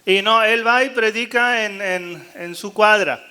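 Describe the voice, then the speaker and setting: High-pitched, bright-voiced male priest preaching. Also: unhurried, clear, resonant tone. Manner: jokey and animated, mimicking doubtful priests, rallying listeners. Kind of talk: live sermon-style talk to a gathered congregation.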